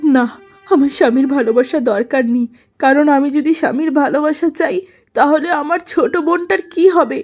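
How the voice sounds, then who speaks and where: A woman voicing a gentle, sorrowful elder sister in a melodramatic cartoon story, plaintive and self-pitying. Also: sits high, normal speed, soft background music, close to an everyday voice.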